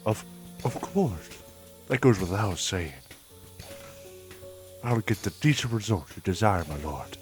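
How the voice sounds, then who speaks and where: A man's voice: on the low side, expressive, unhurried, pauses often, faint music behind. A man playing an obsequious, scheming underling in a dramatic fan dub, formal and deferential in manner. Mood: nervous, servile. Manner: servile, formal, smooth-talking.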